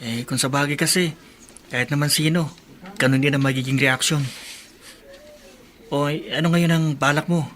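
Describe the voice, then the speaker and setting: An actor playing a supportive young college friend in a radio drama. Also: male voice, on the high side, fairly even intonation, normal speed, pauses often, fairly bright tone, natural voice. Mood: concerned. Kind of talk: Tagalog radio drama acting.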